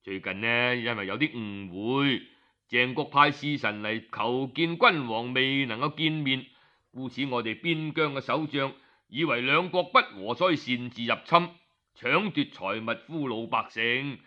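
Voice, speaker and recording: A man voicing a deferential envoy in a Cantonese accent, speaking in measured, formal, apologetic phrases within an oral story. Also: mid-range voice, voice pushed down, close to an everyday voice. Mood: humble, formal. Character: deferential male envoy, humbly formal and conciliatory. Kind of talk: storytelling character voice. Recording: dull, lo-fi audio.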